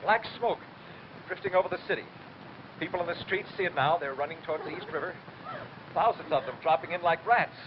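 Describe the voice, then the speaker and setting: Actor as a high-voiced, doomed 1930s radio announcer. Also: male voice, fairly quick, lightly acted. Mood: grave. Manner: solemn, declamatory eyewitness reporting. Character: doomed rooftop radio announcer, grimly steadfast. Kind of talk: 1930s radio drama acting. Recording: dull old broadcast, clear background noise.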